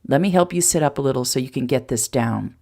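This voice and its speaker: A woman reading a protective young man who speaks low and softly with concern. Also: quick pace. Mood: worried, tender.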